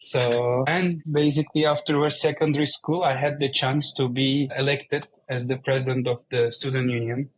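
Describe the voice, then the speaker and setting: Turkish-accented man speaking in a flat, even tone. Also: medium pitch, normal speed, few pauses, a little hiss or hum, poor audio. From Turkey. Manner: explains plainly in non-native English. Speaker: guest, company CEO. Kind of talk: casual interview conversation.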